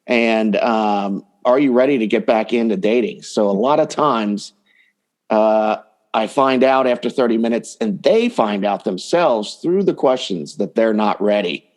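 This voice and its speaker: A man's voice: lowish voice, a little slow, a little mellow. A man talking casually and explaining his coaching work as a guest in an interview. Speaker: dating coach, podcast guest.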